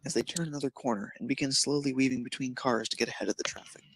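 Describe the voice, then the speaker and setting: A man's voice: mid-range voice, steady tone, quick pace, breathy edge, no echo, low-quality recording. A man narrating a piece of fan fiction, reading it aloud.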